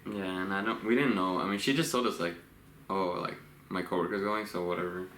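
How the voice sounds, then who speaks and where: A man in his late twenties from Los Angeles, co-hosting a couple's Q&A vlog in a relaxed, rambling way with frequent laughs. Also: sits low, a little fast. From Los Angeles, USA. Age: 20s. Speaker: vlogger co-host. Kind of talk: casual couple Q&A chat. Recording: echoey room with faint hiss.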